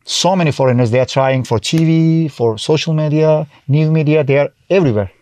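A man's voice: fairly high pitch, fairly quick, a little mellow, no echo. Turkish-accented male guest, middle-aged, chatty storyteller, close dry mic. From Turkey. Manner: chatty, restarts often, acts out his own past remarks. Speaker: guest, TV host and comedian. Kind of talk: casual interview chat.

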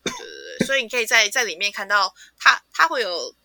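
A woman with a Taiwanese Mandarin accent co-hosting a casual book chat, conversational and agreeing in quick repeated words. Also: medium pitch, wildly swooping pitch, vocal fry, sharp tone, no echo. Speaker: podcast co-host.

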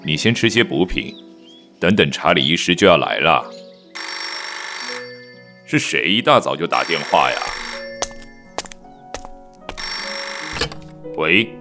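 A man's voice: low-pitched, sing-song, moderate pace, long pauses, over music. A man playing a controlling young nineteenth-century husband in a Mandarin radio drama, hearty, self-assured and patronizing.

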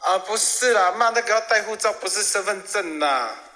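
A man's voice: high voice, lively intonation, moderate pace, bright, forceful tone. A man playing a put-upon young tour guide in an audio drama, polite but flustered and reluctant. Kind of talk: amateur audio drama acting. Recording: echoey hall sound.

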